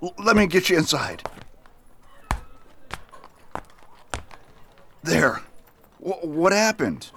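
An actor playing a steady, caring US marshal in a western radio drama. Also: male voice, a little high, measured pace, slight background noise, full character voice. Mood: alarmed.

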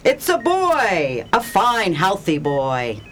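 A woman playing a brisk, reassuring medical attendant at a birth in a radio play. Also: medium pitch, huge pitch swings, a bit bright, slight background noise, exaggerated voice. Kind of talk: radio drama acting.

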